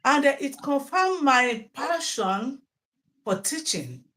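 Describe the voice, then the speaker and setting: A woman's voice: sits high, lively intonation, takes it slowly, sharp tone, slight background noise. A middle-aged Nigerian woman, a native Yoruba speaker, talking at length as a teacher being interviewed, often repeating words and restarting. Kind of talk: interview answers.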